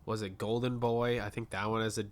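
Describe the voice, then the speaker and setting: A man's voice: on the low side, level tone, brisk. A man on a podcast panel, chatting informally and musing aloud with the odd swear word. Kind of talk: casual chat.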